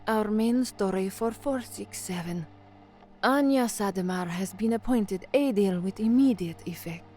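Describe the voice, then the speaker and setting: A woman's voice: mid-range voice, unhurried, a little mellow, a little hiss or hum, natural voice. An actress playing a young, anxious woman who works as a radio newsreader in an audio drama. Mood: formal, composed. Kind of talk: audio drama dialogue, narration and formal newsreading.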